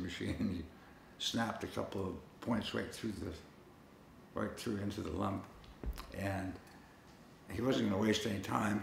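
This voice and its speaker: A man's voice: on the low side, vocal fry, reverberant, a little hiss or hum. A man telling the story of his own illness in a plain, conversational way, with a few restarts. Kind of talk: personal storytelling to camera.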